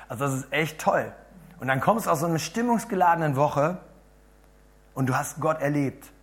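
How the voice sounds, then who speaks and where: A man with a hoarse voice preaches to a gathered group, talking in a chatty way and turning to them with questions. His delivery jumps between loud, emphatic bursts and quiet, murmured asides. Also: on the high side, animated, moderate pace. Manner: chatty, asks the audience questions. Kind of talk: lively sermon to a congregation.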